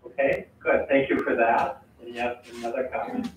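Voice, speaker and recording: A man chairing a school board meeting, halting and full of fillers as he sums up motions. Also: medium pitch, fairly even intonation, a little hiss or hum. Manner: halting, many fillers and restarts. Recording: echoey, distant, tinny meeting audio.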